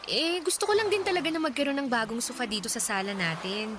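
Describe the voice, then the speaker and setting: A woman's voice: a little high, fast, lightly acted. An actress playing a troubled, affectionate young girlfriend in a radio drama, with an earnest, pleading manner. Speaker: young woman in a love story. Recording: clear background noise.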